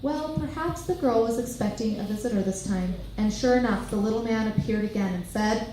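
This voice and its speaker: A woman's voice: a little high, fairly quick, warm tone. A woman narrator reading a children's tale aloud with storytelling expression, in a very echoey room with faint noise.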